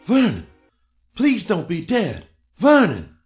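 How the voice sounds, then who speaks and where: A man's voice: sits high, huge pitch swings, slow, soft background music, full character voice. An actor playing an anxious, exasperated captive man with dramatic urgency in an audio drama. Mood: frightened, urgent.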